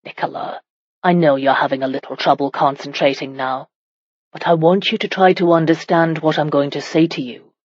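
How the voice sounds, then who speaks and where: A medieval warrior husband from a romance audiobook as a female narrator puts him on. The voice is low, firm and measured, with exasperated authority and a protective streak. The sound is very dry and a bit muffled. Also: fairly even intonation, dry recording. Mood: gentle, firm.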